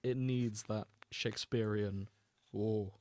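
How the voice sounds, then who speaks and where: A man's voice: fairly low pitch, steady tone, slow pace, darkish tone, dry recording. A man hosting a podcast, chatting casually and jokily with the odd mock-announcer aside. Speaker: podcast host. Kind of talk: casual comedic podcast chat.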